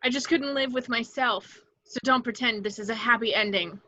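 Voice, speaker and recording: A woman's voice: fairly high pitch, normal speed, bright, forceful tone, muffled recording. A woman acting a vengeful, seductive catlike antiheroine in a superhero table read, with dramatic taunts. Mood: wistful, bitter. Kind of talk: amateur table read of a superhero film script.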